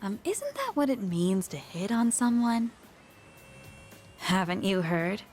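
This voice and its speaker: A woman's voice: mid-range voice, big pitch swings, slow, darkish tone, soft background music. An actress voicing an aloof, prickly young working woman in a video game, with a blunt, dismissive manner. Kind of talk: English video game voice acting.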